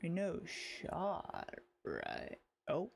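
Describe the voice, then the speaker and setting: A man giving casual livestream game commentary, halting and rambling as he repeats his phrases. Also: fairly high pitch, wildly swooping pitch, creaky. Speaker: game streamer.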